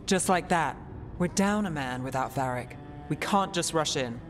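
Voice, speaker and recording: A woman voicing a wounded, cautious woman mage who speaks in a measured, skeptical way, in game dialogue. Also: low-pitched, animated. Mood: wary. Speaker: party companion. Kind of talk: video game voice acting. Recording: game dialogue with clear music, long reverb.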